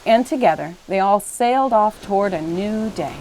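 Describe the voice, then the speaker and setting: A woman reading a picture book aloud as an expressive storyteller. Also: mid-range voice, normal speed, slight background noise, rough recording.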